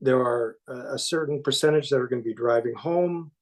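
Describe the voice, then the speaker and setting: Earnest, halting councillor, man. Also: medium pitch. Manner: earnest, halting, self-deprecating. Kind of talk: speaking in debate at a council meeting. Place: council chamber.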